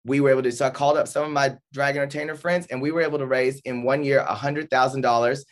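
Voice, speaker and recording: A man's voice: mid-range voice, little pitch movement, quick pace. A man talking as an interview guest, casual and rambling, often restarting his sentences.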